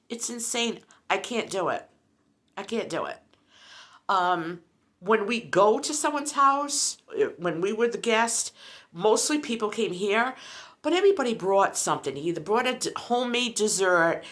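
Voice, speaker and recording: Woman, sixties, vlogger, casual rambling chat, expressive swooping pitch. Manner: chatty, rambling storyteller. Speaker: lifestyle vlogger.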